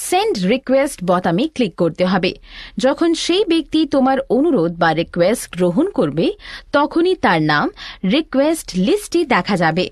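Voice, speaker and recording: A woman voicing an instructive elder sister in an animated lesson, giving deliberate, scripted step-by-step tutorial narration. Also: high voice, dry recording, clean studio sound.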